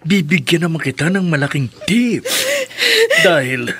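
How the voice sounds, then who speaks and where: A man's voice: on the high side, sing-song, a bit bright, full character voice. An actor playing a lecherous, gloating rich male bar customer in a radio drama. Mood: gloating, lustful. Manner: slow, gloating, leering.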